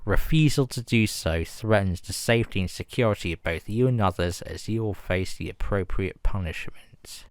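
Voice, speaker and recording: A man reading a scripted emergency broadcast announcement in a formal, measured and grave manner. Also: lowish voice, no room sound, studio quality.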